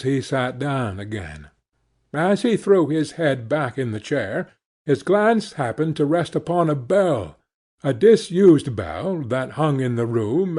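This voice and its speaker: A man reading a classic novel aloud as an audiobook, with measured and expressive storytelling. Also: mid-range voice. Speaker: audiobook reader. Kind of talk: scripted narration of a classic novel.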